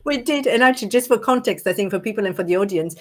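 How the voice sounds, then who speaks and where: A woman's voice: medium pitch, lively intonation, fast. A middle-aged woman from Romania hosting a conversational interview podcast, playful and often searching for her words. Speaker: podcast host.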